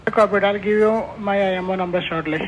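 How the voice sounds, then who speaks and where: A ship captain giving calm, formal replies over a marine radio. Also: male voice, sits high, fast talker. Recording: muffled radio with hiss.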